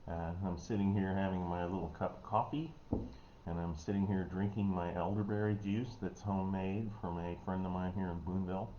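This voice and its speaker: A man with a Missouri American accent, giving a casual health talk online in a folksy, rambling way, often clearing his throat. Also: low voice. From Missouri, United States. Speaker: host, health educator. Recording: dull, roomy, noisy home recording.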